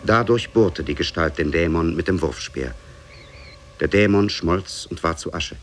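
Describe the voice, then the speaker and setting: An actor as the grave storyteller of an Arabian tale, narrating formally and evenly for a 1950s radio drama. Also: male voice, low-pitched, a little hiss or hum. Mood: grave, measured. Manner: measured, formal.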